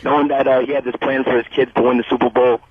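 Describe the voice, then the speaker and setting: A man's voice: medium pitch, flat delivery, rapid-fire, slightly rough, no echo. A man calling in to a radio show by phone, chatting casually. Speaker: radio call-in listener. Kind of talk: casual chat. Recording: dull, lo-fi phone call to radio.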